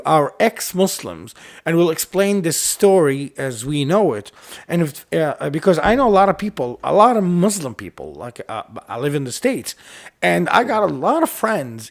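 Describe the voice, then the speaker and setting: This man speaks English with an Arabic accent in a fairly high voice. He talks haltingly, full of uhs, likes and restarts, as he co-hosts a casual chat. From Iraq. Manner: halting, many fillers and restarts. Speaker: podcast co-host. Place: lives in the United States.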